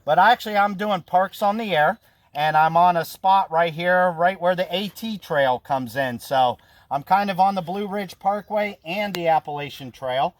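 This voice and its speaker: A man's voice: high-pitched, moderate pace, dry recording. An American man in his fifties chatting casually as an amateur radio operator, with a friendly, folksy, talkative manner. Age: 50s. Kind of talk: casual ham radio conversation. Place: outdoors on a mountain trail.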